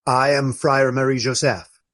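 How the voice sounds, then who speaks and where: Male actor as fifty-something friar, former baron, gentle, formal, measured, gracious, radio play. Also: medium pitch, little pitch movement, close to an everyday voice. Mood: reserved, courteous. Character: gentle, grave former baron turned Trappist friar, in his fifties.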